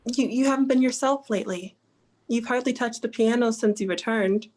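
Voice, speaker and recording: A woman playing a steady, concerned confidante in a 1940s-style radio drama, speaking in a measured, earnest way. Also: on the high side, natural voice. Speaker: actor.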